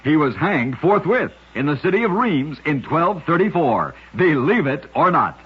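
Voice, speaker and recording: A man hosting an old-time radio program, narrating short scripted oddities in a husky, measured, dramatic announcer's delivery. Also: fairly high pitch, very animated, background noise. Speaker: radio host and storyteller. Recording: muffled, dull old radio recording.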